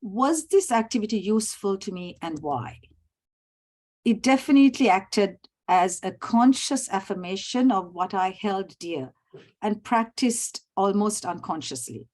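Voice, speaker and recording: Measured Sri woman presenting. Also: medium pitch, lively intonation, darkish tone. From Sri Lanka. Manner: measured, formal, clears throat often. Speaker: summit presenter. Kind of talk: prepared formal presentation. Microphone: video call.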